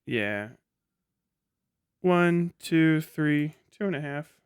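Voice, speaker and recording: Smooth-voiced, sweary man hosting a livestream. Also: fairly high pitch, lively intonation, extremely slow, no echo, clean studio sound. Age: late 30s. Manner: sweary, rambling, self-deprecating. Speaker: livestream host. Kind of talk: casual livestream chat.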